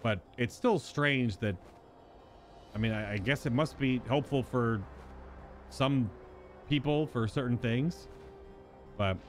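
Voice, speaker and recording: A man's voice: mid-range voice, slow pace, pauses often, soft background music. A man doing casual live game commentary, musing and rambling as he thinks aloud. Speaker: game streamer.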